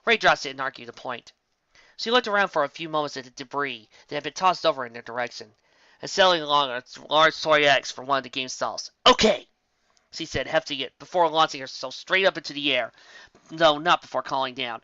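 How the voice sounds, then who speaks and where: A man narrating a fan fiction story in a steady reading manner. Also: on the high side, brisk, clean tone, no room sound. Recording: dry, dull lo-fi home recording.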